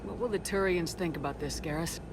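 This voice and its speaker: Game lead: female actor as resolute commander, slightly low register, direct, clipped and tough. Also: brisk, background noise, close to an everyday voice. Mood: serious, subdued. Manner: curt, assertive. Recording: long reverb with music behind.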